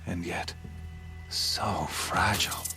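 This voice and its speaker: A man playing a cruel, smug cult leader in a video game cutscene, speaking in a measured, menacing and grandiose way. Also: low voice, fairly even intonation, bright, forceful tone, exaggerated voice. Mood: smug gloating. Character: cold, cruel male cult leader, smugly zealous villain. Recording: voice under background music.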